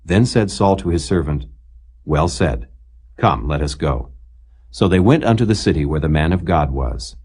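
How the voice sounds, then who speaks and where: Deep, husky-voiced man reading scripture. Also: darkish tone. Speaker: Bible narrator. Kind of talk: scripted scripture reading, King James English.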